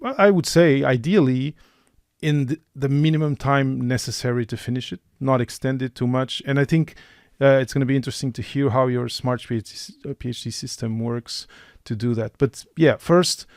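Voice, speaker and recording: Gravelly-voiced male podcast host. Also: mid-range voice, darkish tone, no room sound, clean studio sound. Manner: halting, many restarts and fillers, encouraging. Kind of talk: podcast interview.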